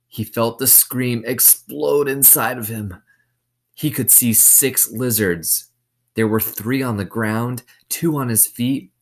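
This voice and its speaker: A man's voice: low-pitched, fairly bright tone. A man reading a novel aloud with suspense, explaining it in casual asides.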